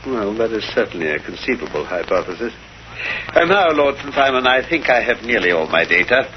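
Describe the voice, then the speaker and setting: Brisk English detective, self-assured, dryly witty, lively swooping intonation, noisy old radio play recording. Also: male voice, lowish voice. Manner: incisive, dry, self-assured. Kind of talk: 1930s radio acting. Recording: muffled, hissy 1930s radio recording.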